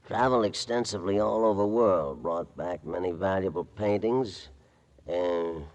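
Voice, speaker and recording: An actor's fussy, low-voiced newspaper file clerk. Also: male voice, moderate pace, darkish tone, highs cut off, close to an everyday voice. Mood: droll, plodding. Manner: pedantic, droll, meandering. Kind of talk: 1940s radio drama acting.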